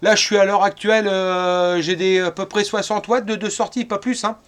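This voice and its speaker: A man's voice: high voice, fairly even intonation, moderate pace. A middle-aged man from France chatting casually as an amateur radio operator.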